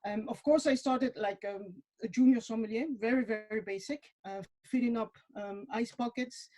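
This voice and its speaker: A woman's voice: on the high side, moderate pace, dark tone, low-quality recording. A woman in her forties from Mexico, talking casually as a webinar guest, with many fillers and repeated words. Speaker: webinar guest, wine industry professional.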